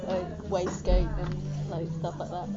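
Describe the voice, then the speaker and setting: A woman's voice: on the low side, level tone, slow. A woman in her twenties from Singapore, speaking casually and hesitantly in an interview with many fillers. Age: 20s. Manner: hesitant, lots of ums and likes. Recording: dull lo-fi sound with loud music.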